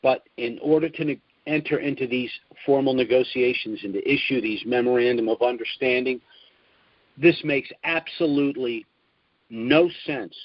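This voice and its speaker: An older American man hosting a conference call as its spokesman, giving an informal business briefing in a measured way with emphasis on key points. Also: mid-range voice, dry recording. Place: at home, recorded over a conference call. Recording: dull, dry phone conference audio.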